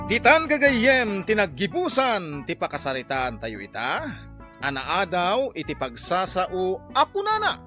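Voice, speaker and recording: High, animated Ilocano radio announcer, male. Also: a little slow, faint music behind. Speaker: program announcer. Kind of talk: dramatic scripted radio intro.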